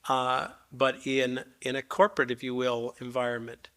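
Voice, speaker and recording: A man in his sixties with a raspy voice, moderating a panel discussion in a gracious, formal, somewhat rambling way. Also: medium pitch, little pitch movement, normal speed, pushed and bright.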